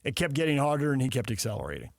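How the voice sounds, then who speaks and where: A man's voice: mid-range voice, sing-song, very fast, gravelly, no echo. A man hosting sports talk radio, discussing in a casual, opinionated way.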